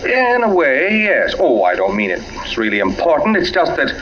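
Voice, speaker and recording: A man's voice: a little high, wildly swooping pitch, normal speed, fairly bright tone. A muffled, noisy old radio recording carries an uneasy suburban husband from a 1940s mystery drama. He is polite but probing, and his voice moves freely up and down.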